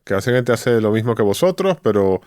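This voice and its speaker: A man's voice: lowish voice, big pitch swings, quick pace, darkish tone, dry recording, studio quality. A man hosting a conversational interview, asking direct, probing follow-up questions. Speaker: podcast host, interviewer.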